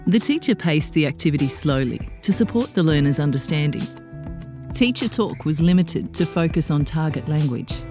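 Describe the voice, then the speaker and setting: A woman giving scripted voice-over narration for a teacher training video, measured and explanatory. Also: deep voice, lively intonation, dark tone. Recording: voice-over with music behind.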